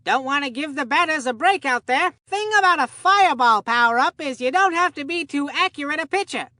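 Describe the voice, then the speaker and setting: Folksy excitable kid baseball announcer for a cartoon game, high pitched n sharp, gushes in old timey slang. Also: female voice, fairly quick, dry recording, studio quality. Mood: folksy, advising. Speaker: sports commentator. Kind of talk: scripted game sports commentary.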